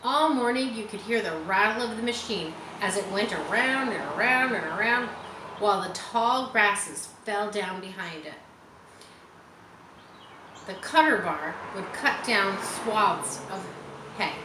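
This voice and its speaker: A woman reading a children's story aloud in a teacherly way, pausing to explain and chat to her listeners. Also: medium pitch, expressive. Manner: teacherly, explains words and adds chatty asides. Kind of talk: children's audiobook reading with asides. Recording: roomy echo with faint background noise.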